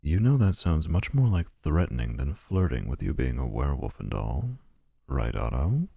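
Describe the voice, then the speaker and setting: A man's voice: rumbling low, very animated, moderate pace, vocal fry, dark tone, husky, full character voice. A man voicing a snarky, possessive male vampire suitor in a boyfriend ASMR roleplay, mocking with dry sarcasm.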